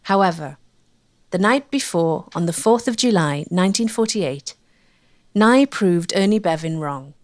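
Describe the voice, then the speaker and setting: A woman reads scripted documentary narration in a measured, articulate way. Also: on the low side, animated.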